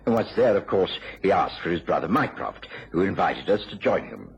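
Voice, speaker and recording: An actor playing a courteous British gentleman doctor who recounts his detective friend's cases in a radio drama. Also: deep voice, sing-song, normal speed, husky, exaggerated voice. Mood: reflective, narrating. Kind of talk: radio drama narration and dialogue. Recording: dull radio recording with music behind.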